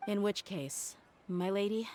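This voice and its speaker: A woman playing a tough, frank and loyal young mercenary in a video game, with a firm, measured delivery. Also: medium pitch, dark tone, a little hiss or hum, close to an everyday voice. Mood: calm, respectful.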